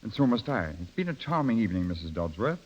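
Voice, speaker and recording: An actor playing a suavely polished cosmopolitan banker, courtly and seductive, in 1930s radio drama style. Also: male voice, fairly low pitch, very animated, quick pace. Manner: smooth-mannered, formal, courtly. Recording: dull 1930s radio recording with music behind.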